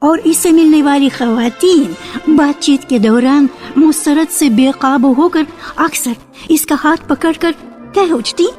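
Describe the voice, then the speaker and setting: High-voiced woman narrating slowly. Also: darkish tone. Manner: measured storytelling. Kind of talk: scripted radio drama narration. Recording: archival radio with faint music.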